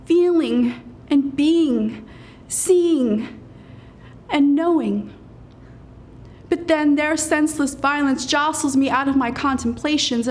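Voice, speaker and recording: A woman poet gives a dramatic spoken-word recital in a high-pitched voice. She moves very slowly and deliberately through each phrase, leaving weighted pauses, and keeps a solemn manner.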